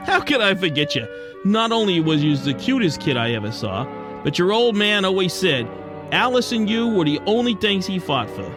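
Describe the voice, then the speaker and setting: Casting: male actor as hardboiled but soft-hearted penguin detective, highish voice, swinging delivery, old-time slang, radio drama with music underneath. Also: very animated, normal speed. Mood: fond, excited. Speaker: lead actor and narrator.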